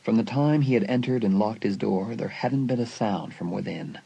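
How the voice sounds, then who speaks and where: A man's voice: on the low side, moderate pace, vocal fry, mellow, slightly rough, highs cut off. A man reading suspenseful scripted narration for a dramatised audiobook. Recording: faint music behind the narration.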